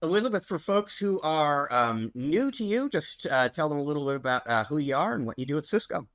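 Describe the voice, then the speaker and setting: A man's voice: on the high side, big pitch swings, fairly quick, fairly bright tone, no room sound. Man, podcast co-host, casual upbeat intros, filler words, dry recording. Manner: upbeat, friendly introductions with frequent "um" and "uh".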